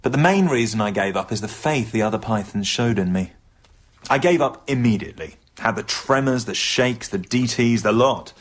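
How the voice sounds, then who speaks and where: An English actor playing an earnest, wry comedian and recovering drinker in a radio comedy drama. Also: male voice, mid-range voice, normal speed, natural voice. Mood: wry narration.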